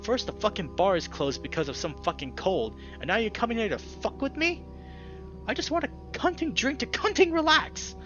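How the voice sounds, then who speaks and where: This is a man acting out a gruff old weasel from a visual novel game. He is irritable and brusque, with a very animated pitch that swings around, and music plays behind him. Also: moderate pace, muffled recording. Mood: furious. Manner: swearing, exasperated, blunt.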